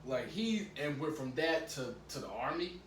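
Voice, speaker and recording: A young man hosting a casual podcast chat, rambling and halting, often restarting his sentences. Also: a little high, animated, a bit bright. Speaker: podcast host. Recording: echoey room, mic at a distance, faint hum.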